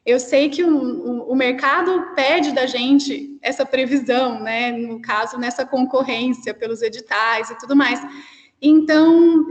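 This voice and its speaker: Brazilian woman, interior são paulo accent, high voice, thinking out loud on a video call, echoey dull mic. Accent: São Paulo countryside (caipira) Brazilian Portuguese. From interior of São Paulo state, Brazil. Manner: hesitant, thinking aloud, frequent "né?" tags, laughs lightly. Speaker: cultural producer asking a question. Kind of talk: online panel question.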